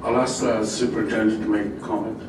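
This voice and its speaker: A man's voice: on the low side, flat delivery, quick pace. A man chairing a public board meeting, calling speakers in a polite, procedural way. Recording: echoey, distant, noisy room recording.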